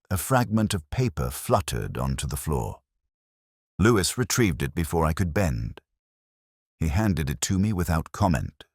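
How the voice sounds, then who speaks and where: A man narrating a first-person detective story in a measured, brooding, literary manner. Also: rumbling low, dry recording, clean studio sound. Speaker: audiobook narrator.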